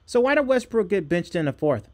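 High-pitched, animated comedic man. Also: fairly quick, slight background noise. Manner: profane, slangy, exaggerated. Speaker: comedian voicing athletes and referees. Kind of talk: comedic voiceover dubbing over sports clips.

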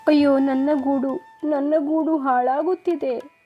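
A timid little bird from a children's cartoon story, acted out by a woman. The voice sits high and pleads with a tearful tremble, over clear background music. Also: steady tone, unhurried, phone-quality sound. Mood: panicked. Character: tiny frightened female bird, timid and pleading.

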